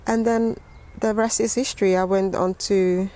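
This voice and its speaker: A middle-aged woman with a London accent tells her story as an interview guest, halting and repeating words as she goes. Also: medium pitch, fairly even intonation, warm tone. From West London, England. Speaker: interview guest, gardening teacher.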